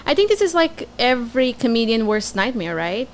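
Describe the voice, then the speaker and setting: A woman's voice: on the high side, big pitch swings, normal speed. Portuguese-accented woman in her 20s, blunt jokey podcast guest, swears a lot, light hum behind. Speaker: comedian guest. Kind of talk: casual podcast chat.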